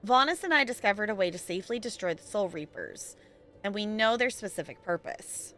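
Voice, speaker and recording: Bright, animated woman streamer. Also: medium pitch, fairly quick, soft background music. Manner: playful, chatty, giggly. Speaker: game streamer. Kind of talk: casual live gameplay commentary.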